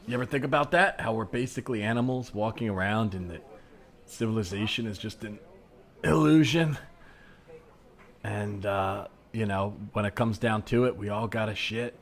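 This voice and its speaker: A man improvising a desperate, sheepishly philosophical shopper in a comedy scene, halting and rambling as he earnestly justifies himself. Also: lowish voice, natural voice. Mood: exasperated, defensive.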